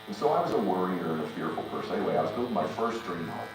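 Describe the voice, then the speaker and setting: Deep-voiced man in a buzzing, echoey space. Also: very fast. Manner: emphatic, repeats phrases for effect. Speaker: podcast guest, businessman and motivational speaker. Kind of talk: motivational interview talk with personal stories. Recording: roomy echo, distant mic, steady electric buzz and hum.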